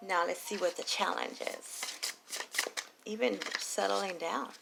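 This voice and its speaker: A woman reading tarot late at night, talking softly and low and slipping into whispers. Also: slow pace, gravelly, very noisy. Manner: soft and low, drifting into whispers. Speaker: tarot reader.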